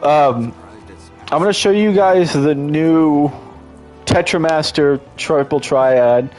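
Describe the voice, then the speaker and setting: A man's voice: on the high side, slow, a little mellow. Congested man rambling over game music. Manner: rambling, restarts often, enthusiastic. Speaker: streamer. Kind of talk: casual gameplay commentary.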